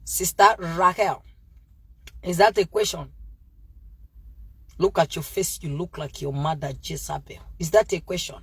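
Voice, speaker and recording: A woman's voice: fairly low pitch, unhurried, long pauses, a bit bright. Scolding woman preacher, distant mic. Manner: confrontational, scolding, repeats herself; mixes in Pidgin. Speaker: livestream preacher. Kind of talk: fiery informal sermon, answering live comments.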